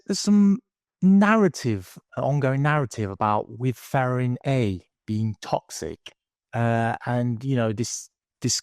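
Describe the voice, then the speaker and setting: A man's voice: medium pitch, a little mellow, no echo. Man moderating a webinar, dry close sound. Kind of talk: moderated discussion, posing questions.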